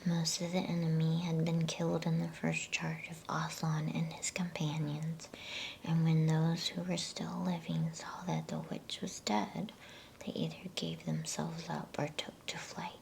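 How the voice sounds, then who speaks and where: A woman reading a bedtime story in a breathy, soothing ASMR whisper. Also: low-pitched, slight background noise, low-quality recording. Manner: hushed, unhurried, soothing delivery. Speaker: storybook reader.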